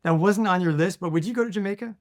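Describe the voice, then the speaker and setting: A chatty man co-hosts a podcast, rattling off stories and questions at a quick clip. His voice is a little higher than most men's, and the sound is dry and close to the mic. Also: no echo. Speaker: podcast co-host. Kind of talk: casual interview, telling anecdotes.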